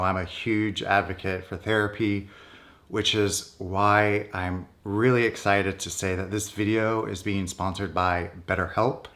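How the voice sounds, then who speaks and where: A man with a North American accent talks in a fairly low voice. He speaks casually and candidly, as a vlogger chatting to his viewers. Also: fairly bright tone. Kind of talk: casual personal vlog talk.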